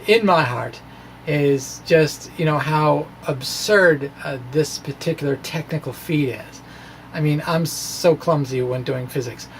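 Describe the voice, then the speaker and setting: A middle-aged American man giving an informal, halting explanation to camera, with animated, self-deprecating asides. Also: a little high, vocal fry, a little hiss or hum. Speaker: physics video blogger. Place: small indoor room with bookshelves. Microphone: microphone on stand in front of chest.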